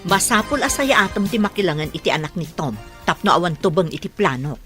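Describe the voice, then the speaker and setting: A woman's voice: lowish voice, expressive, a little fast, music in the background, close to an everyday voice. A woman with an Ilocano accent playing a greedy, calculating middle-aged mother in a radio drama. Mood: insistent.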